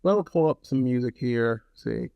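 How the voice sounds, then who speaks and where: A man's voice: medium pitch, moderate pace, gravelly, smooth, dry recording, highs cut off. A man giving a casual, conversational hands-on product review, often repeating phrases for emphasis.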